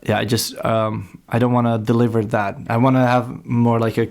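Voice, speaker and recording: A man whose first language is Dutch, a guest speaking casually in English. He hesitates often, filling pauses with ums and uhs. He pokes fun at himself as he goes. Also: medium pitch, little pitch movement, a little mellow. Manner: hesitant, many fillers and restarts, self-deprecating. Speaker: skate shop owner and guest. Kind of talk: casual podcast chat. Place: skate shop.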